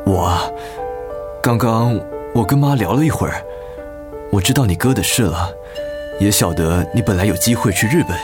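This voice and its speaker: An actor with a Taiwanese accent playing a middle-aged husband in a radio drama, earnest, gentle and apologetic as he tries to win back his wife. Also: lowish voice, normal speed, pauses often, close to an everyday voice. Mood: earnest, pleading. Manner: gentle, pleading. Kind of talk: Mandarin radio drama acting. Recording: music bed under the voice.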